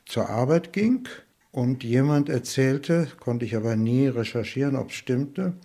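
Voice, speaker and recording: Slow, mellow older man recalling the past. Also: medium pitch. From eastern Germany. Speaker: eyewitness. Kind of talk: halting recollection.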